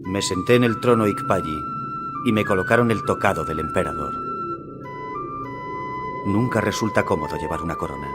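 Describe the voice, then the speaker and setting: An actor playing a solemn Aztec emperor in a game cutscene, telling of war in grave, measured Castilian Spanish. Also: male voice, mid-range voice, wildly swooping pitch, loud music behind the voice. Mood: grave, proud. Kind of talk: scripted dramatic game cutscene narration.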